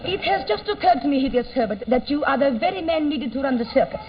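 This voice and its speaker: A woman's voice: sits high, lively intonation, quick pace, very noisy. An actress playing a refined, coaxing circus woman with formal, theatrical phrasing in an old-time radio comedy. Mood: persuasive. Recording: muffled old radio recording, audience behind.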